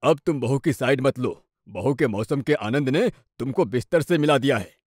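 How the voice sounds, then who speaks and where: A man acts a sensible, stern older father-in-law in a cartoon moral tale. He lectures in an admonishing way at a middling pitch. The recording has very little room sound. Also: animated, moderate pace, no room sound, studio quality, lightly acted. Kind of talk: cartoon moral story dialogue.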